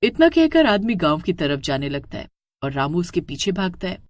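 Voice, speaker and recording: A woman tells a scripted horror tale as its narrator. Her voice sits a little low and swings widely in pitch, with dramatic suspense. The sound is dry and close. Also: sing-song, moderate pace, no echo.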